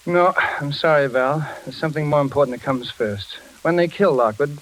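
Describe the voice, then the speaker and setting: Actor as blunt, resolute radio-drama engineer. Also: male voice, a little high, fairly quick, natural voice, poor audio. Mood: grim, urgent. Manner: blunt, decisive. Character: grim, resolute male engineer bent on solving murder. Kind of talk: 1940s radio acting.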